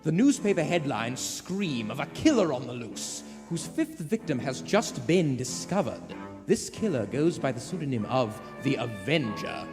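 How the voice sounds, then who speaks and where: A man's voice: mid-range voice, big pitch swings, measured pace. A man playing an ominous 1940s radio suspense host with a theatrical, dramatic delivery. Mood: grave, wry. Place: theater stage before live audience. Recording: echoey theater hall, music behind.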